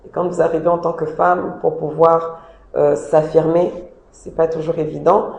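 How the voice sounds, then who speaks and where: A woman's voice: low voice, flat delivery, normal speed, creaky, darkish tone, a little hiss or hum. A woman giving earnest, emphatic interview answers as the founder of a job agency, often repeating her points. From DR Congo. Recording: echoey room.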